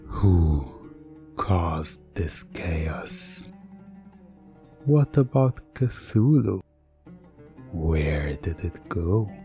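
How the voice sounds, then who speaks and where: A man narrating a hypnotic second-person story in a theatrical, deliberate way, with dramatic pauses. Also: sits low, dark tone.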